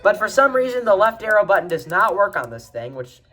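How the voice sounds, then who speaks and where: A man's voice: sits high, sing-song, fairly quick, fairly bright tone, soft background music. A young man hosting an off-the-cuff show-and-tell, rambling and repeating himself with blunt opinions. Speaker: video host showing a radio collection.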